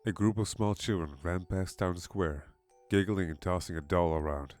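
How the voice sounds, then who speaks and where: A man with a low voice narrates a scripted horror tale. The recording is dry, and music plays softly behind him. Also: moderate pace, dry recording.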